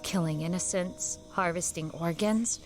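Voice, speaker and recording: A woman's voice: fairly low pitch, a little slow, music in the background. A woman playing a tough, weary Old West wanderer in an audio drama, with an American accent and cool, laconic delivery. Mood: grim, resolute.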